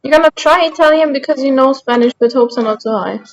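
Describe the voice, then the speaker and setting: Woman, high voice, Austrian German accent, German native speaker, game streamer, casual chatty talk with viewers, self-deprecating. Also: fast, lo-fi sound. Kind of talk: casual livestream chat while gaming.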